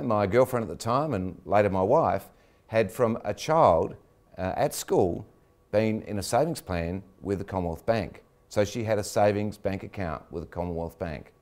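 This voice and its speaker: Older male host with a lowish voice. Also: darkish tone. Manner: chatty, anecdotal introductions. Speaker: interview host. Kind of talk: talk show interview.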